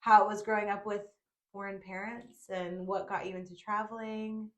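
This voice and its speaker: A woman's voice: mid-range voice, flat delivery, normal speed, fairly bright tone. A woman hosting a podcast, chatting with her guest in a friendly, gushing way. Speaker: podcast host. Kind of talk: casual conversational interview.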